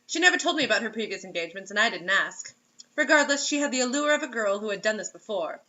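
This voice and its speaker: Woman, mocking dramatic narration, sarcastic asides, bright sharp tone, muffled lo-fi recording. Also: mid-range voice, lively intonation, quick pace. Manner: sarcastic, breaks off to comment. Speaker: host reading a story aloud. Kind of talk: mocking dramatic read-aloud with casual asides.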